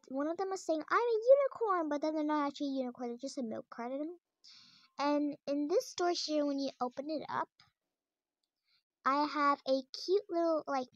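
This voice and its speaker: A young girl with a high voice chats her way through a tour of her game house, rambling and restarting often. She films the tablet screen from close up in a dry, close-sounding room. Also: no room sound. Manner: chatty, rambling, many fillers and restarts. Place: indoors, filming a tablet screen.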